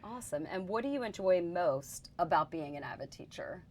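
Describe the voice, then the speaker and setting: A woman's voice: on the low side, lively intonation, moderate pace, darkish tone. A woman hosting a school district interview, asking friendly questions. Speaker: interview host.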